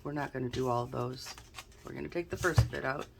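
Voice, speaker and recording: A woman's voice: extremely low pitch, a little fast, creaky, noisy background. A woman hosting a casual tarot card reading, chatty and thinking aloud, sounding a little snuffly and stuffed-up. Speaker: tarot reader, channel host.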